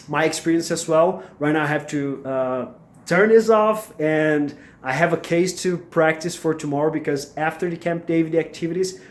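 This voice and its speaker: A young man from Brazil with a Brazilian Portuguese accent, vlogging casually as an MBA student in English, his second language, with lots of fillers and restarts. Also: fairly high pitch. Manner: hesitant, many fillers and restarts. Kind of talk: casual vlog monologue. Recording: echoey room, faint background noise.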